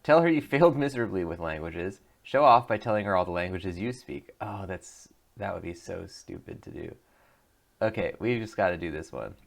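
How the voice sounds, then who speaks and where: A man's voice: deep voice, lively intonation, moderate pace, gravelly. A man hosting a let's play, reading the choices aloud and joking about them with frequent laughs. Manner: jokey, reads options aloud, laughs often.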